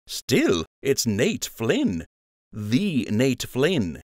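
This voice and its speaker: A man's voice: mid-range voice, wildly swooping pitch, drawn-out words, no echo, clean studio sound, pitched above the performer's own voice, heavily acted. A man voicing a teasing young male rabbit friend who ribs with a smirk. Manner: smirking, playful ribbing.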